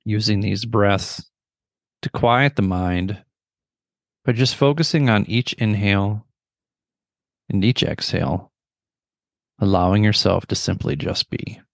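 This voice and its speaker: Low, gravelly male meditation guide. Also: no echo. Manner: measured, instructional, gentle phrasing with pauses.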